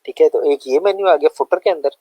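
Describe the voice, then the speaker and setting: A man's voice: a little high, quick pace, dark tone, no echo. Man teaching with a sore throat. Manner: loose, conversational teaching with many fillers. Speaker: web development tutor. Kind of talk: live screen-share coding lesson.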